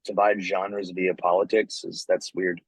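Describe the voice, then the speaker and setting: A man who plays in a band, answering an interview in a relaxed, casual way with lots of "like" and "you know". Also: low-pitched, measured pace, husky, no echo. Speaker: band member guest. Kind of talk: casual chat.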